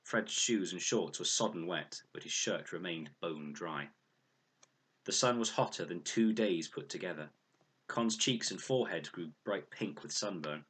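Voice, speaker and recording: A man with a deep voice narrates a children's book. His tone is husky and breathy, and he reads expressively as a storyteller. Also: measured pace, fairly bright tone. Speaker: storyteller reading aloud.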